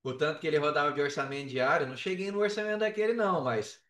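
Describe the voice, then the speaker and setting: A Brazilian man with a fairly high voice chats casually on a podcast. He tells things in slangy, animated fashion. The sound is roomy, with him slightly away from the microphone. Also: rapid-fire. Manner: slangy, animated storytelling with acted-out quotes. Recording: roomy echo, distant from mic.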